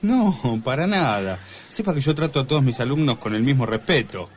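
A man's voice: medium pitch, expressive, normal speed, a little hiss or hum, close to an everyday voice. A man playing a lovestruck, evasive piano teacher with an Argentine accent in a comic radio soap.

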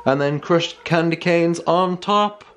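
A man's voice: on the high side, takes it slowly. A man, chatting playfully and casually to a handheld vlog camera. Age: 20s. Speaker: family vlogger, father. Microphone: camera held at arm's length. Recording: loud music over the voice.

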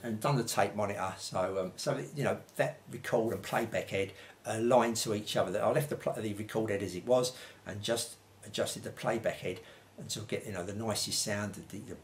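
An older man, around his sixties, with a lowish voice. He talks quickly and casually, rambling along as he reviews to camera. There is clear background noise in the room. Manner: chatty and rambling, frequent "you know" and "like" tags. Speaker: vintage audio reviewer. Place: small domestic room indoors, seated at a table.

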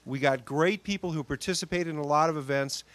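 Man, quick upbeat delivery, slightly high voice. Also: a bit bright. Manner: upbeat community praise. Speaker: club member.

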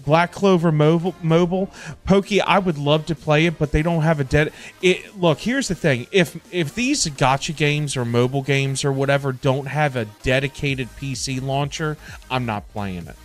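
A middle-aged man chatting casually to livestream viewers in an animated, emphatic way, often repeating himself for emphasis. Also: a little high, moderate pace. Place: indoor home streaming setup. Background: music.

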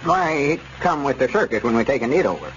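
A man's voice: mid-range voice, big pitch swings, fast talker, natural voice. Old rural Southern rustic, played by a male actor: trusting, folksy drawl, golden-age radio comedy, dull noisy recording. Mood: puzzled. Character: folksy old country storekeeper turned circus owner, slow and trusting. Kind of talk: 1930s-40s radio comedy acting.

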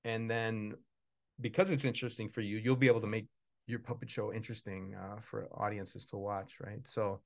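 A man's voice: fairly low pitch, little pitch movement, moderate pace, vocal fry, darkish tone. A man in his forties chatting casually at a live book launch as a puppeteer and author, rambling and self-deprecating. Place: small indoor room with puppet stage.

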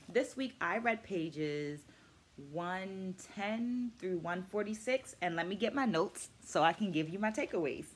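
Middle aged lady with a low voice chatting thru a book review vlog, careful wording, leans on key words. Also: fairly bright tone. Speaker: educator and book vlogger. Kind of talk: reflective book-review vlog, talking to viewers. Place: small furnished room indoors.

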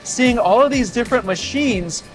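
A man in his thirties hosting a factory tour, talking casually and excitedly with a clip-on mic on a large factory floor. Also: very high voice, normal speed, over music, poor audio. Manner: excited, repeats "really cool". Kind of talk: casual enthusiastic tour chat.

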